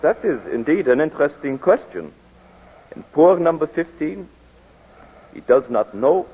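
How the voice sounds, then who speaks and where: An actor playing a courteous, sorrowful foreign witness with a husky voice, in 1940s radio drama style. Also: male voice, a little high, sing-song, measured pace, heavily acted. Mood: resigned, sorrowful. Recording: muffled 1940s radio recording.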